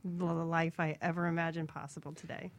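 A woman's voice: deep voice, flat delivery, brisk, creaky, very noisy. A woman in her forties introducing herself as a guest on a radio show, proud and upbeat. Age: 40s.

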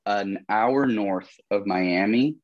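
Low-voiced young man, rambling casually. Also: slow, no room sound, muffled recording. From Colombia. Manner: relaxed, rambling, many fillers like "like" and "you know". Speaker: podcast guest. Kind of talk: casual conversational interview.

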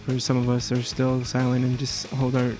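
Brief: young male youth-radio reporter, flat and earnest, a bit halting, with guitar music underneath. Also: mid-range voice. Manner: earnest, halting with repeated restarts. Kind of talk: personal testimonial. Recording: music bed behind the voice.

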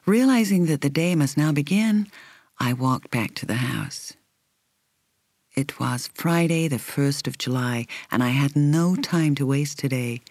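A woman reading a first-person novel as an audiobook narrator, in a measured, reflective, carefully articulated way. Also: sits low, expressive, darkish tone, no room sound, studio quality.

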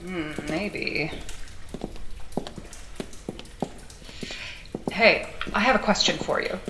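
A woman's voice: lowish voice, unhurried, lightly acted. Woman playing a sardonic, probing woman, echoey space. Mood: wry, probing. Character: wry, teasing, tough, inquisitive woman in cyberpunk future. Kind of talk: naturalistic audio drama acting. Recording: echoey sewer-like space, background noise.

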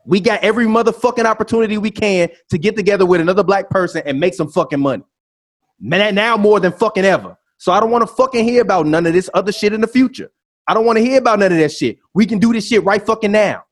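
Fired-up high-voiced man ranting. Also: fast. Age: 30s. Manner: ranting, profane, repeats himself insistently. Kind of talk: heated casual debate.

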